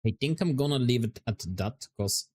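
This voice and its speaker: A man streaming a game, chatting casually and musing aloud, his voice turning hoarse and scratchy. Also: mid-range voice. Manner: relaxed, musing aloud, drawn-out words. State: voice going hoarse, scratchy throat. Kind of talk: casual livestream chat while gaming.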